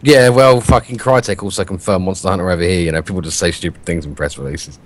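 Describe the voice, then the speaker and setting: A British man co-hosting a gaming podcast, swearing a lot and arguing his point in a self-described monotone. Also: low voice, fast. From Britain. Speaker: podcast co-host. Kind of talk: casual gaming podcast chat.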